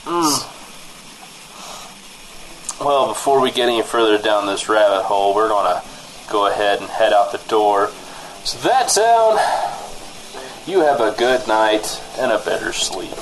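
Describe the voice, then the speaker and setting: A man's voice: on the low side, measured pace, long pauses, background noise. A man hosting a comedy radio news show as the mild-mannered, slightly hesitant straight man.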